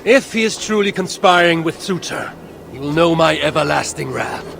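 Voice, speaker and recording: Proud Norse god king, gravelly, fairly high-set male voice, solemn archaic phrasing, video game drama, music underneath. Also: lively intonation, moderate pace, a bit bright. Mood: stern, wrathful. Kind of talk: dramatic game cutscene acting.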